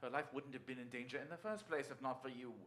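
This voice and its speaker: A man's voice: mid-range voice, fast talker, fairly bright tone, lightly acted. An actor playing a tense, evasive husband in a radio drama, clipped and guarded as he protests. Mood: incredulous. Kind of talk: stage radio drama acting.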